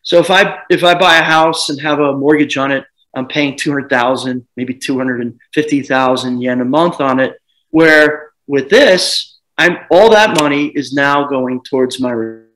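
A man in his thirties from the United States, chatting on a video call about selling his home, rambling with lots of restarts and animated bursts. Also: mid-range voice, moderate pace, poor audio. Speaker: home seller. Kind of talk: casual video call chat. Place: dim living room with sofa. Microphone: laptop webcam on video call.

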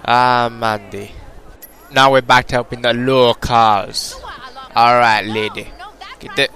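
A man's voice: medium pitch, fairly even intonation, slow, gravelly, sharp tone, clear, resonant tone, soft background music, some chatter behind. A young British man casually commentating a game, joking and rambling in a put-on Jamaican accent. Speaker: gameplay commentator. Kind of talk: casual let's-play commentary.

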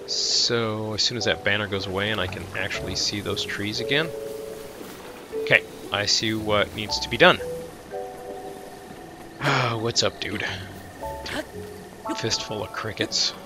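A man's voice: on the low side, fairly even intonation, unhurried, pauses often, over music. A man with a lingering head cold giving casual, goofy, rambling gameplay commentary as if talking to himself. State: tail end of a head cold. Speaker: let's play host.

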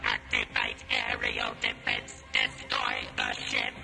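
A man's voice: very high voice, completely flat delivery, takes it slowly, bright, forceful tone, echoey room, faint music behind, exaggerated voice. A man playing an imperious, hostile alien machine creature in a science-fiction audio drama, with a grating electronic voice barking staccato commands. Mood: urgent, commanding. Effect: electronic ring-modulated robot voice.

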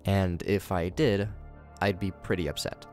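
A man's voice: low voice, steady tone, measured pace, darkish tone, soft background music. A man giving scripted but casual commentary, with wry conversational asides. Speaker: host of a horror series commentary channel.

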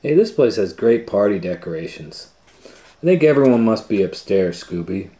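A man's voice: on the low side, lively intonation, normal speed, mellow, close to an everyday voice. A man voicing a young, laid-back but cowardly cartoon slacker with casual, slangy, hesitant talk. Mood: breezy.

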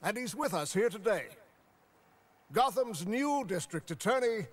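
An actor playing a dignified middle-aged civic official giving a formal, oratorical speech in an animated series. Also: male voice, high-pitched, unhurried, slightly rough, dry recording, heavily acted. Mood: stately, proud.

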